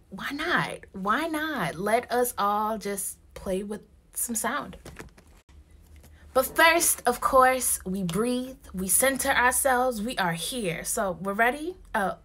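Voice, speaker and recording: A woman's voice: fairly high pitch, lively intonation, takes it slowly, a bit bright, a little hiss or hum. A young woman from Ghana, a poetry workshop teacher, speaks to students in a recorded online lesson, talking expressively and casually straight to them into a phone camera held at arm's length in a small room at home.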